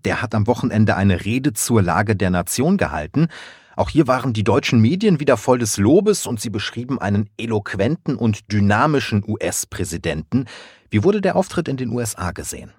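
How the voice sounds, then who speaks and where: Low husky male interviewer. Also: sing-song, moderate pace, a little mellow, dry recording, studio quality. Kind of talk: news interview questions.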